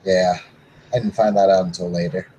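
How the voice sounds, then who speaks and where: A man's voice: low-pitched, flat delivery, normal speed, dark tone, a little hiss or hum, rough recording. A man playing a tabletop roleplaying game, answering in character with laid-back, brief replies.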